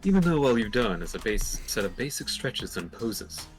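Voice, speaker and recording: A man voicing a calm, philosophical yoga guru in a video game, speaking in measured, formal, politely preachy phrases. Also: medium pitch, soft background music, close to an everyday voice. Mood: serene lecturing. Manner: measured, formal, serene lecturing.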